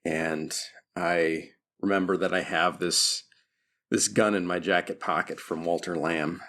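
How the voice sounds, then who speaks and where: A man's voice: low-pitched, fairly even intonation, measured pace, sharp tone. A man playing a tabletop roleplaying game, talking casually as he describes his character's actions. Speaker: player in an audio roleplaying show.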